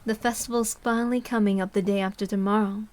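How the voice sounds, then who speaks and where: A woman's voice: medium pitch, steady tone, fast, darkish tone, close to an everyday voice. A woman voicing an amiable male photographer in a story, earnest and easily flustered. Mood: friendly.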